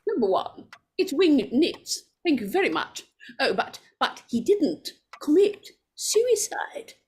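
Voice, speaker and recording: A woman's voice: high voice, sing-song, slow pace, a little mellow, full character voice. A woman playing a nervous, superstitious, insistent lady in an old-time radio horror play, stammering and repeating herself.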